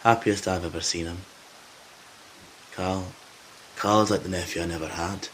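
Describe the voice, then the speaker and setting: A man playing a gruff, loyal zombie apocalypse survivor in an audio drama, talking informally and hesitantly with some swearing. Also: low-pitched, fairly even intonation, a little slow, fairly bright tone, slight background noise, low-quality recording. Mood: subdued, reflective.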